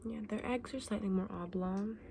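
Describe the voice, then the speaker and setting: A woman's voice: mid-range voice, normal speed, warm tone. Whispering woman in ASMR roleplay, noisy background. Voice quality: breathy whisper. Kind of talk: whispered ASMR roleplay as a dragon egg saleswoman.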